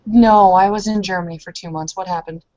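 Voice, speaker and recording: A woman's voice: on the low side, fairly even intonation, a little fast, mellow. A woman playing a tabletop roleplaying game, chatting casually in character. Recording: dull lo-fi online call audio.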